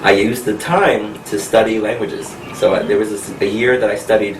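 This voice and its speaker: A friendly man from the United States, in his thirties, talks through his music career slowly and clearly, laughing easily. His lowish voice comes from a distance in an echoey room over a steady hum of air conditioning noise. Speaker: pianist and vocal coach. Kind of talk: relaxed interview answers.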